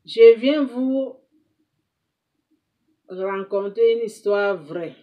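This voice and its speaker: A woman's voice: fairly high pitch, very slow, muffled recording. A woman hosting an advice video, lecturing her viewers in an emphatic, scolding way and repeating herself for effect. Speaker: advice-giving host. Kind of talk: moralizing monologue to viewers.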